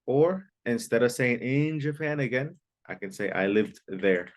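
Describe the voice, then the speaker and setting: A man's voice: mid-range voice, animated, unhurried. A man teaching an online English class, patiently repeating key phrases and sometimes explaining in Spanish. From Mexico.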